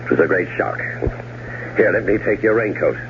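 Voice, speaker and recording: An actor playing an outwardly grieving, evasive widower, politely halting and measured, in a 1970s radio drama. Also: male voice, fairly low pitch, lively intonation. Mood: polite, flustered. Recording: muffled old radio broadcast with hum.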